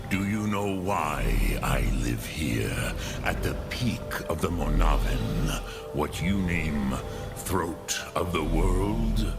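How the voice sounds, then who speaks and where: A man voicing an ancient, wise male dragon in a fantasy video game, speaking patiently and deliberately with a weighty, gravelly voice and philosophical manner. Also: extremely low pitch, heavily acted. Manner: slow, deliberate, ponderous, pausing between phrases.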